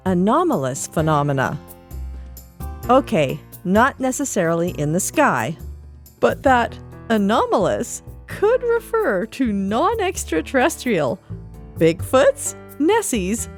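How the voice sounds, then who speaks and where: A woman reading a newsletter expressively for a podcast. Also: medium pitch.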